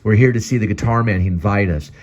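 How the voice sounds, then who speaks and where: A man's voice: on the low side, fast talker, darkish tone, voice pushed up, lightly acted. A man voicing a chatty, upbeat young man. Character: cheerful young man with a flashlight.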